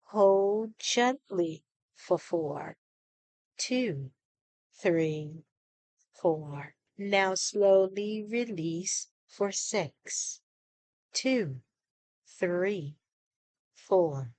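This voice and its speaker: A woman leading a guided meditation in a soothing, deliberate manner, leaving pauses between short phrases. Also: on the low side, darkish tone, dry recording, rough recording.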